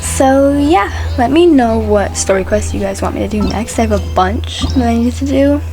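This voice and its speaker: Female gaming streamer, high voice, informal chat straight to viewers, clear game music behind. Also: animated, moderate pace. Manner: informal, addresses viewers directly. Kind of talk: casual gameplay commentary.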